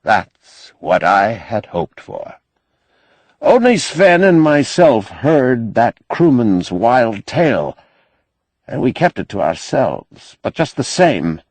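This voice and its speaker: Audiobook role: ageing male starship captain, played by a male narrator, slow, gravelly, weary with command. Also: medium pitch, sing-song, darkish tone, dry recording, full character voice. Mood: troubled.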